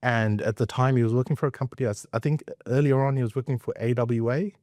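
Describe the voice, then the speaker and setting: An Australian man in middle age chats as an interview guest, recounting things in a casual way. His tone is dark and relaxed at an average pitch, recorded very dry and close. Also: quick pace, dry recording. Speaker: interview guest, investment professional. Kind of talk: casual conversational storytelling.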